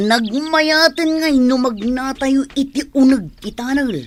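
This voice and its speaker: A man's voice: extremely high pitch, a little slow. A man with an Ilocano accent playing a greedy, confident bank robber in a radio drama. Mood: smug.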